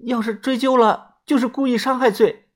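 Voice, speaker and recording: A woman's voice: a little high, fairly even intonation, voice pushed up, natural voice. A storyteller voicing a timid, desperate young woman who stammers and pleads for help. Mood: anxious, hesitant. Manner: stammering, halting.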